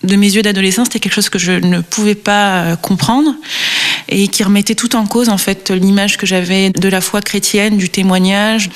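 A woman's voice: medium pitch, fairly even intonation, normal speed. Reflective woman giving a hesitant testimony. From France (Marseille, Réunion). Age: 30s. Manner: hesitant, reflective, many fillers. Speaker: guest. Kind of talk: personal testimony interview.

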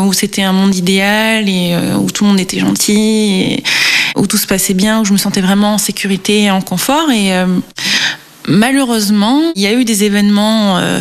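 Reflective woman giving a hesitant testimony. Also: mid-range voice. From France (Marseille, Réunion). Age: 30s. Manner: hesitant, reflective, many fillers. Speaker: guest. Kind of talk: personal testimony interview.

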